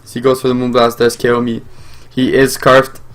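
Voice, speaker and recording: A young man whose mother tongue is French talks through a game as he plays, thinking out loud. His commentary rambles, full of fillers and restarts, at a middling pitch. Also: completely flat delivery, moderate pace. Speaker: gaming channel host.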